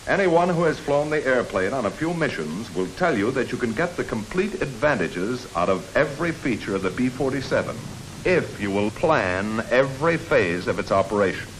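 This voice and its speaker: A man's voice: mid-range voice, very animated, normal speed. An American man reading scripted narration for a 1950s military training film. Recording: noisy 1950s film soundtrack.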